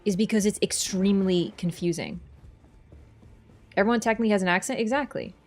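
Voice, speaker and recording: A woman's voice: mid-range voice, brisk, a little mellow, soft background music. Scatterbrained woman with a Toronto accent. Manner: scatterbrained and rambling, self-deprecating, loses her train of thought. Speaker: video game streamer. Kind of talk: casual livestream chat, reading and thanking viewers.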